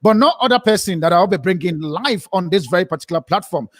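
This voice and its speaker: A man's voice: high-pitched, expressive, fast talker, dry recording, studio quality. A man hosting a live online interview, informal and stumbling, often repeating words as he searches for what to say. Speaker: host.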